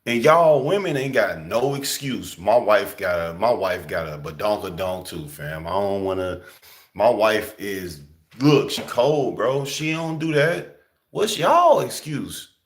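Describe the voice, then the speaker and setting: A young man talking in a casual, slangy preaching style, emphatic and often jumping high for emphasis. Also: animated, measured pace. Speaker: host.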